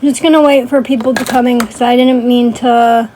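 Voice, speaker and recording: A woman's voice: on the high side, steady tone, fairly quick, mellow, a little hiss or hum, poor audio. A woman ranting casually on a livestream in a blunt, sweary way, often checking in with emphatic tag questions. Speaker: livestream host.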